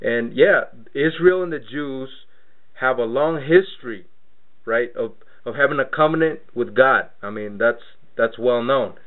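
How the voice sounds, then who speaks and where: A man's voice: medium pitch, a little slow. A man born in Mexico hosting a radio interview, talking in a loose, rambling way and repeating words as he searches for his point. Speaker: radio show host. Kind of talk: casual radio interview talk. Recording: tinny phone-line radio audio.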